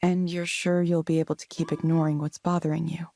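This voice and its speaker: An actress playing an earnest, caring counselor in a video game, speaking in a measured, gentle way. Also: female voice, sits low, flat delivery, a little hiss or hum. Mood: calm, probing.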